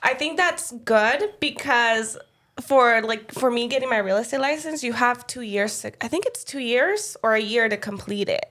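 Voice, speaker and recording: A woman's voice: fairly high pitch, lively intonation, normal speed, fairly bright tone. A woman with a Mexican accent hosting a podcast in a studio, chatting casually and laughing often. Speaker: podcast host. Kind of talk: casual chat.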